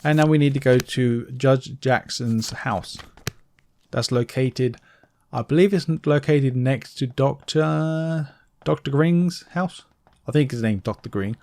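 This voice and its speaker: A man's voice: medium pitch, slow pace, a little mellow, no echo. A man giving a casual video game walkthrough, offhand, often correcting himself and slipping in joking asides. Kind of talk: casual gameplay walkthrough commentary.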